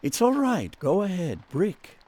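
An actor in a radio drama playing a patient, gently persistent psychiatrist questioning someone. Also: male voice, high voice, huge pitch swings, a little slow, heavily acted. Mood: gently probing.

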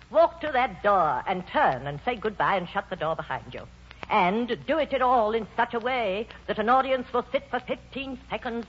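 A woman's voice: mid-range voice, fairly bright tone. An actress playing a proud, fiery old grandmother of the stage, grand and declamatory in 1940s radio drama style. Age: older. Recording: dull, noisy old radio broadcast.

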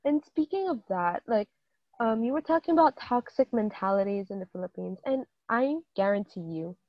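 Lively young woman from Hong Kong, muffled audio. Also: medium pitch, normal speed, dry recording. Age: late teens. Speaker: co-host, sister. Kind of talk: casual chat.

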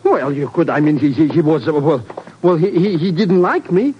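A man acts out a nervous small-time crook from a 1950s radio drama. His voice sits high and leaps around as he stammers and frets. The old broadcast is dull and hissy. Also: animated, moderate pace, close to an everyday voice. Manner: stammering, flustered. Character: jittery, excitable, small-time crook sidekick.

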